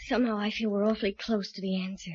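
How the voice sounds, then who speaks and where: An actress playing an anxious but determined young woman haunted by dread, earnest and halting, in 1940s radio style. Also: mid-range voice, flat delivery, a little mellow, breathy edge, dry recording, natural voice. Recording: muffled old 1940s radio recording.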